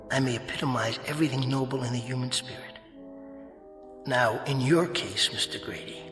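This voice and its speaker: An actor playing an eloquent, sardonic spirit of fate in a radio drama, speaking in grandiose, wordy phrases. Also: male voice, mid-range voice, pushed and bright. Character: eloquent, mocking male spirit of fate and conscience. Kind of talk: vintage-style radio drama acting. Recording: reverberant hall-like echo effect, faint music.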